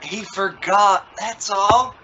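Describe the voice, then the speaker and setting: A man's voice: high voice, slow pace. A man playing an exasperated, sarcastic middle-aged father in an old-time radio sitcom, flustered and bluffing confidence. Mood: insistent, irritated. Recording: dull, noisy lo-fi radio play recording.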